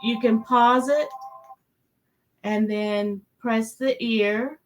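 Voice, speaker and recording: A mature woman with a slightly high voice talks as an interview guest. She goes slowly and haltingly, repeating words in a casual way. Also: fairly even intonation, low-quality recording. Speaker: interview guest, children's author. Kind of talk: casual chat.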